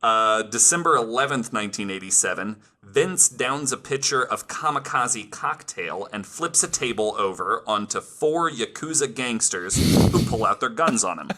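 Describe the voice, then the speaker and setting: A man's voice: medium pitch, expressive, normal speed, hardly any pauses, sharp tone. A man hosting a podcast, reading out researched stories with casual asides and plenty of "like". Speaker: podcast host telling the story.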